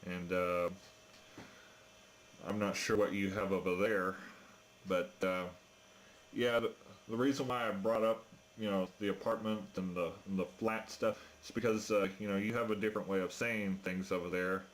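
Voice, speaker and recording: A man's voice: deep voice, fairly even intonation, normal speed, a little hiss or hum, poor audio. An American man chatting casually on ham radio, explaining things with some hesitation. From United States. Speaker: amateur radio operator.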